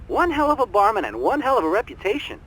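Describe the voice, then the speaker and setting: A man's voice: high-pitched, huge pitch swings, fast talker, fairly bright tone, heavily acted, poor audio. A man playing an obliging hotel barman in a video game, chatty and folksy with a theatrical delivery. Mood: helpful, chatty.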